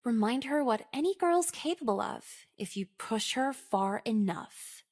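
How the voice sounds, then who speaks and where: A young woman with an American accent playing a cynical, self-absorbed high-school girl in a visual novel, talking in a casual, slangy, sardonic way. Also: lively intonation, measured pace, a little mellow. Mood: smug, dry. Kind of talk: visual novel voice acting, first-person monologue.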